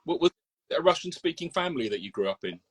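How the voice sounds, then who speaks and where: A man's voice: fairly high pitch, huge pitch swings, fast talker, creaky, breathy edge, dry recording. A man hosting a live interview, asking his guest probing follow-up questions in a casual way. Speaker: host interviewer. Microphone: phone on a live video stream.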